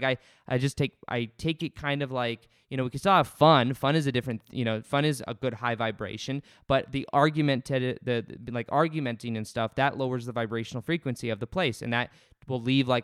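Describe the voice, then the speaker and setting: Man with a smooth, dry voice. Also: medium pitch, fairly quick, no room sound. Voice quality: loud voice. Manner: earnest, rambling, with frequent restarts. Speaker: podcast host. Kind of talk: casual spiritual self-help talk. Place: home studio.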